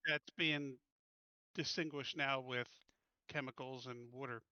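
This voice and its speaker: A man's voice: medium pitch, fairly even intonation, measured pace, bright, forceful tone, no echo, muffled recording. A man talking casually as a player in a tabletop roleplaying game. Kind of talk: casual chat during play.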